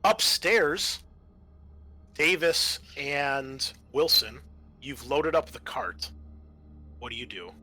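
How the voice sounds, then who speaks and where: A man acting as game master, improvising halting narration for a tabletop roleplaying game. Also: mid-range voice, animated, pushed and bright.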